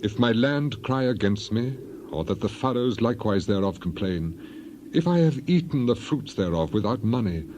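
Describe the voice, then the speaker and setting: A man's voice: lowish voice, animated, normal speed, background noise, close to an everyday voice. A man playing a proud, suffering man defending his innocence in dramatized scripture, solemn and declamatory. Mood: solemn, defiant. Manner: declamatory, solemn, archaic diction.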